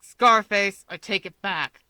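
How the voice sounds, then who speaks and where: A woman voicing a rude, hot-tempered teenage boy with a gruff, snarling delivery in a story reading. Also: mid-range voice, takes it slowly, sharp tone, dry recording.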